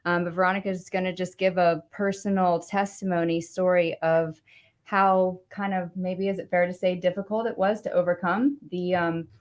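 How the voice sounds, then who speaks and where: Chatty co-host woman, low-ish voice. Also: little pitch movement, normal speed. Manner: chatty and conversational, with fillers. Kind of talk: casual talk-show chat.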